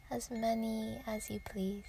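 A woman guiding a yoga nidra meditation in a soft, breathy near-whisper, gentle and soothing, with unhurried pauses. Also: on the high side, level tone, slight background noise. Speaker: meditation guide.

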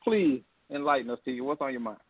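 A man's voice: medium pitch, animated, fast talker, husky, no room sound. Man joking in mock indignation. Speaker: co-host. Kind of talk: casual podcast banter. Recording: tinny phone-line sound.

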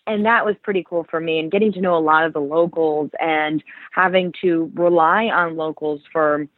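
A woman's voice: sits low, fairly even intonation, normal speed, dry recording. Guest author, 30s woman from Ohio, thoughtful, restarts a lot, dry tinny phone sound. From Ohio, Cleveland area. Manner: thoughtful, many restarts and fillers. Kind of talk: conversational interview.

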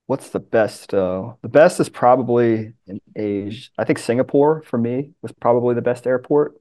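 A man's voice: medium pitch, moderate pace, mellow. A man chatting casually as a guest on a podcast. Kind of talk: casual chat.

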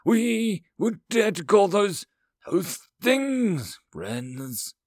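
An exasperated insectoid alien diplomat acted out by a man in an audiobook story. The voice climbs high and swings widely, indignant and demanding, in a dry close recording. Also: big pitch swings, extremely slow, no echo, exaggerated voice. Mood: appalled.

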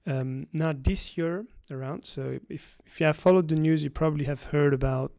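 Guy, gravelly, explaining things, lots of restarts, dry recording. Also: fairly high pitch, steady tone, mellow, dry recording. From France. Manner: explanatory, halting with frequent restarts. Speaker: guest energy analyst. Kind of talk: interview answers.

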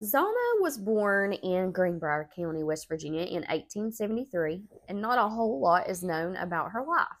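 A woman's voice: lowish voice, lively intonation, normal speed, hardly any pauses. Congested nasal woman, thirties. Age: 30s. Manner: chatty, laughs often, says y'all. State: congested from a sinus infection. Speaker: podcast co-host. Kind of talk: casual chat and storytelling.

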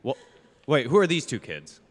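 An absent-minded male doctor, acted by a man in a comic radio play. His pitch swings widely, and he mutters and hesitates distractedly. The sound carries a long, hall-like reverb. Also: very animated, moderate pace. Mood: puzzled. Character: absent-minded, befuddled male doctor investigating strange cases. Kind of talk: improvised radio play parody.

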